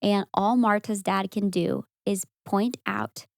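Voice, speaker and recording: A young woman co-hosting a podcast, telling a story in a casual, animated way with frequent laughs. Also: mid-range voice, takes it slowly, gravelly, dry recording, studio quality. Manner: animated, laughs often, acts out quoted voices.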